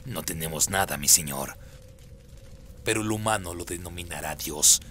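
A demon underling voiced by a man addresses his dark master in a radio drama. The voice is very deep, measured and deferential. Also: a little hiss or hum.

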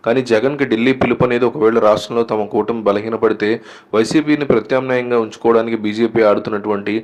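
Fast-talking man presenting political news. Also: medium pitch, steady tone. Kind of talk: scripted political news commentary.

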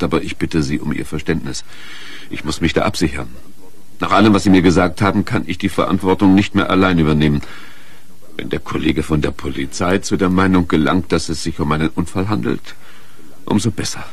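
An actor playing a patient but insistent doctor in a radio crime drama, polite, probing, with a gravelly, husky voice. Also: male voice, sits low, brisk. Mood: firm. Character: patient, insistent male doctor questioning a wife.

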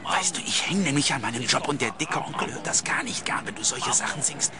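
A man's voice: a little high, expressive, fairly quick. A man dubbing football footage for comedy in a pleading, fussing way. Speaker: comedy dubber. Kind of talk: comic dub of football footage.

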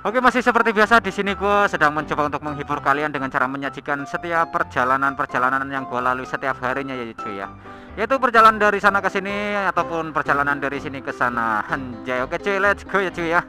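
A man's voice: fairly high pitch, lively intonation, quick pace. Chatty young man on a motorbike. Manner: chatty slangy banter, frequent laughs. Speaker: motovlog host. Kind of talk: casual riding commentary. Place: outdoors on a moving motorbike in city traffic. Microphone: helmet camera mic. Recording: road wind noise with loud background music.

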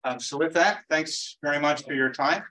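A man's voice: fairly high pitch, normal speed, sharp tone, low-quality recording. A man presenting at a lecture-room symposium in a loose, conversational way with frequent fillers. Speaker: industry presenter. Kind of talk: conference presentation.

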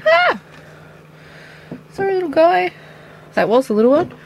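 A woman's voice: very high-pitched, huge pitch swings, measured pace, vocal fry, slight background noise. Nasal woman with a head cold. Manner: chatty, explains as she handles the animals. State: stuffy nose from a cold. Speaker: pet keeper showing her animals. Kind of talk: casual show-and-tell vlog. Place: indoors at home, by a terrarium.

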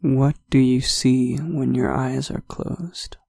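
Slow, soft-spoken man with a gravelly voice. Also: mid-range voice, steady tone, no room sound, clean studio sound. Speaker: meditation guide. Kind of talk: guided meditation.

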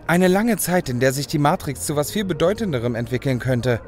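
A man's voice: on the high side, lively intonation, brisk, faint music behind, lightly acted. An actor playing a cynical, proud young male hacker turning elf in an audio drama, brooding and self-assured. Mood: earnest, visionary. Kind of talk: German audio drama acting.

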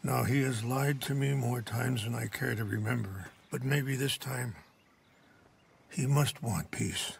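An actor playing a dignified, weary older chief seeking peace in a video game drama, measured and courteous. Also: male voice, medium pitch, vocal fry, a little hiss or hum. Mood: earnest, weary.

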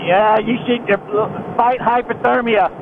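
High-voiced teasing man on a tinny headset. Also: fairly even intonation, measured pace. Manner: teasing, sarcastic, swearing. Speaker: motorcycle rider co-hosting a vlog. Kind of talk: casual joking banter between friends. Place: outdoors riding a motorcycle. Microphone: helmet headset. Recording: tinny, phone-like helmet intercom with road noise.

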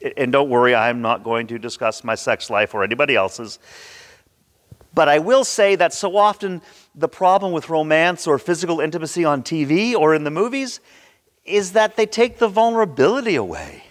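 A man in his sixties preaching a sermon with theatrical, emphatic delivery and dramatic pauses, recorded in a church. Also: on the high side, lively intonation. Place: large church sanctuary, indoors at a pulpit. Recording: reverberant church hall.

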